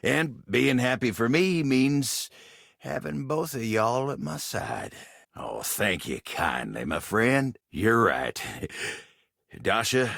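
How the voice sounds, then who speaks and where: A man voicing a gentle, lovestruck cowboy in a video game, with a Western American drawl, a gravelly, husky voice and a bashful, courteous, folksy manner. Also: mid-range voice, sing-song, slow pace, dry recording, studio quality, full character voice. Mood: grateful, affectionate. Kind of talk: video game character voice acting.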